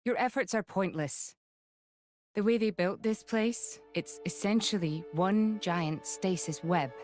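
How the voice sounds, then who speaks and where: A woman playing a stern, composed military noblewoman in a game, speaking in a measured, formal way. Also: mid-range voice, darkish tone, no room sound, soft background music, natural voice. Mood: grim, firm. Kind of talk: voiced game cutscene acting.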